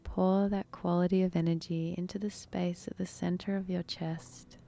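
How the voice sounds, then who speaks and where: A woman leading a guided meditation in a soft, breathy, unhurried, soothing manner with long pauses. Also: on the low side, level tone, a little hiss or hum.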